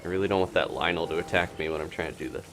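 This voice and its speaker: A man's voice: deep voice, rapid-fire, over music. A man giving casual gameplay commentary as a streamer, rambling as he thinks aloud. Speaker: game streamer.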